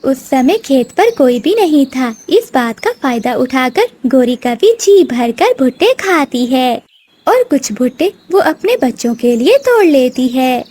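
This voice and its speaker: A woman narrator with a very high voice reads a children's story. Her pitch moves in a lively, animated way through the scripted telling. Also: measured pace, breathy edge.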